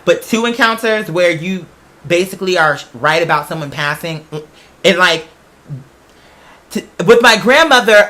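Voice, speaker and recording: A man's voice: on the high side, sharp tone. A man hosting a casual talk show, telling personal stories in a chatty, emphatic way with self-questioning asides. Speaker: podcast host. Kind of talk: casual personal storytelling monologue.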